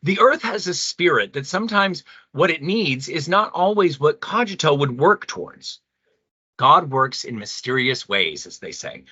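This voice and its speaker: A male actor plays an earnest male pastor in an audio drama debate. His voice is bright and forceful, swinging widely as he preaches solemnly. The sound is close, dry and slightly muffled. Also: on the high side, no room sound, full character voice. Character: earnest, mystical male pastor and spiritual leader. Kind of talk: radio play debate acting.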